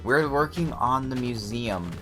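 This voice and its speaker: A young man with a slightly hoarse voice, quietly talking through his gameplay, often pausing and restarting his sentences. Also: medium pitch, expressive, music in the background. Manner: thoughtful, pauses and restarts sentences; soft-spoken, many fillers. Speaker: let's play host. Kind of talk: relaxed gameplay commentary.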